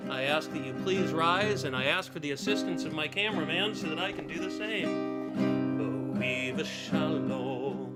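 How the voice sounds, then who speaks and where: A man's voice: a little high, rough recording. A man with a Chilean accent, a cantorial soloist chanting liturgy with guitar over a video call. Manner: slow, drawn-out singing. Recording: clear guitar behind the voice.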